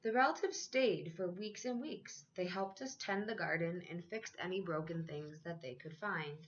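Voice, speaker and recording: A dull, slightly lo-fi recording carries a woman's voice on the lower side. She reads a children's picture book aloud like a schoolteacher, with measured storytelling phrasing and drawn-out emphasis on key phrases. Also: a little hiss or hum. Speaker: elementary school teacher reading aloud.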